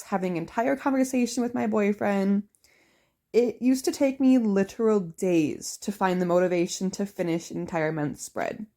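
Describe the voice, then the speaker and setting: A young woman narrating a journaling video in a chatty, upbeat voice-over, slightly hoarse from a cold. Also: on the high side, warm tone. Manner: chatty, upbeat, talks straight to viewers. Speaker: bullet journal vlogger.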